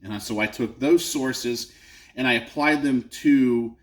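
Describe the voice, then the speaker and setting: A man's voice: medium pitch, measured pace. A man with a nasal voice hosting his channel, talking casually and off the cuff into his phone in a home garage, with frequent ums and asides. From Texas. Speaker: channel host. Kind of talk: casual vlog talk. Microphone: phone camera.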